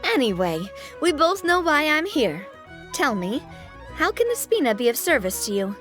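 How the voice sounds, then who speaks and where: A woman's voice: sits high, big pitch swings, moderate pace, a bit bright, faint music behind. An actress playing a fiery, fiercely loyal young woman boss in a video game, with a dramatic, sassy, confident manner. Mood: upbeat.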